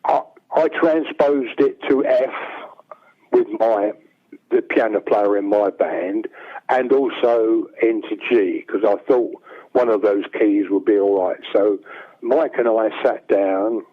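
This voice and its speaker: An older man with a bass-baritone voice, telling rambling stories as a songwriter guest. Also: slow. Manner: rambling anecdotes, acts out quoted dialogue. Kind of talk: casual storytelling. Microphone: telephone. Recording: tinny phone line.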